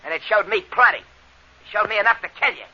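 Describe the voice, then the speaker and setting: Crazed jealous spaceman villain, high piercing voice, taunting, muffled old radio drama sound. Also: brisk, bright, forceful tone. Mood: gloating, bitter. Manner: taunting, threatening. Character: deranged jealous spaceship power man, menacing and gloating. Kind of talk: 1950s radio drama acting. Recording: dull vintage radio recording.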